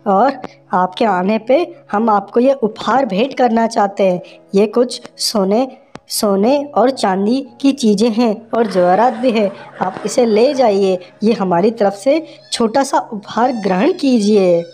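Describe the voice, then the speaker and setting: A stately male lion from a children's cartoon, greeting a guest with formal courtesy. His voice sits fairly high, as a woman narrator puts him on, and soft music plays beneath. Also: slow. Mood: courteous. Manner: formal, courteous welcoming speech. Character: generous, respectful male lion king.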